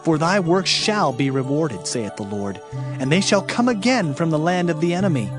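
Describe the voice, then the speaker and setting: A man's voice: on the high side, big pitch swings, moderate pace. A man narrating a dramatized scripture audiobook in a solemn, formal, declamatory manner, with music behind him.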